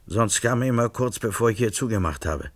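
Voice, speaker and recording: An actor in a German science fiction radio play, playing a wary, evasive barkeeper with a gravelly, hesitant delivery. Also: male voice, on the low side, expressive, brisk, no echo, lightly acted. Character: wary, evasive barkeeper who knows more than he says.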